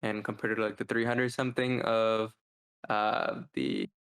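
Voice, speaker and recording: A man's voice: fairly low pitch, little pitch movement, a little slow, gravelly, a bit bright, clear, resonant tone. A man hosting a podcast in a casual discussion style. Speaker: podcast host.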